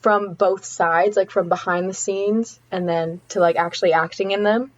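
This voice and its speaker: A woman's voice: medium pitch, steady tone, moderate pace, dry recording. Casting note: teen girl student, chatty and earnest, slightly muffled dry audio. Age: teens. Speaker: student guest. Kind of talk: casual interview answer.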